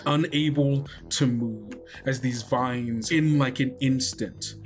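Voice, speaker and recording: A man with a medium-pitched voice runs a tabletop game as its game master. He improvises descriptive narration of each scene, with faint music playing underneath.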